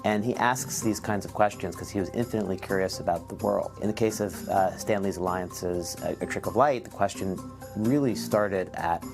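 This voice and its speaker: A man's voice: low-pitched, moderate pace. A man speaking as a co-creator in an explanatory interview style. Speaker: project co-creator being interviewed. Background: music.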